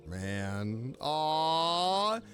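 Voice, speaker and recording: A man's voice: fairly high pitch, sing-song, drawn-out words, a bit bright, faint music behind. A man streaming a game, giving casual, reflective commentary and empathetic reactions aloud.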